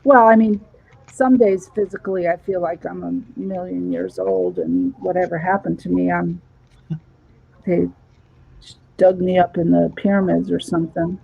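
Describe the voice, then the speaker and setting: A woman in her sixties, chatting casually with friends. Her voice is gravelly and a little husky. The sound is dull and lo-fi, as over a video call. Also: lowish voice, lively intonation, moderate pace, no room sound. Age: 60s. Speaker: painting group member. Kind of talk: casual chat.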